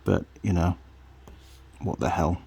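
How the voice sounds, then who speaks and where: Husky, low-voiced man muttering through a repair. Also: slow pace, dark tone. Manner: muttering to himself and viewers while working. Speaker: vlogger, hobby mechanic. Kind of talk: casual hands-on workshop commentary.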